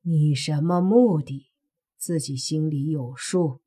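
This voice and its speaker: A woman voicing a cold, stern older male fox spirit who speaks slowly and menacingly. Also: deep voice, mellow, breathy edge, exaggerated voice. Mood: cold threatening.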